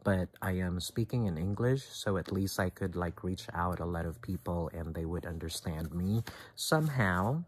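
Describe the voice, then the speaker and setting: A man in his thirties from the Philippines, with a Filipino accent and English as his second language, talking live to his viewers in a halting way, with many fillers and restarts. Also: sits low, lively intonation, breathy edge. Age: 30s. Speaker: vlogger. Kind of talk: casual talk to camera, done live.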